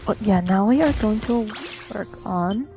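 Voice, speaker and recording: A woman's voice: mid-range voice, animated, a little slow, warm tone, soft background music. A woman game streamer chatting casually on a livestream, offhand and half talking to herself. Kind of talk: casual livestream chat, reading game lines aloud.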